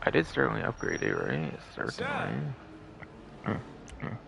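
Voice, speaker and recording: A man with a deep, heavily fried voice streams a video game, his pitch leaping around dramatically. He talks casually, repeating phrases and swearing under his breath. There is clear noise on the recording. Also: huge pitch swings, moderate pace, fairly bright tone. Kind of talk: casual live gameplay commentary.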